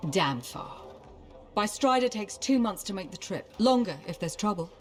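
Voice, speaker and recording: A woman's voice: medium pitch, expressive, normal speed, close to an everyday voice. A woman playing a shrewd, brash dealmaker in a video game, confident and businesslike. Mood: breezy, bantering. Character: shrewd, brash female bar-keeping dealmaker. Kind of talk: naturalistic video game acting.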